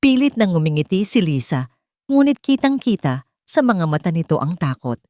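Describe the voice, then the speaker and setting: Casting: female story narrator, slightly low pitch, dramatic scripted delivery, dry studio-like sound. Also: very animated, moderate pace, dark tone, dry recording.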